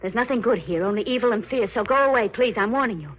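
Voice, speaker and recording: A woman's voice: a little high, expressive, fast talker, breathy edge. An actress playing a fearful, haunted woman thought insane, urgent and overwrought, in a 1940s radio thriller. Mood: fearful warning. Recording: old dull radio recording.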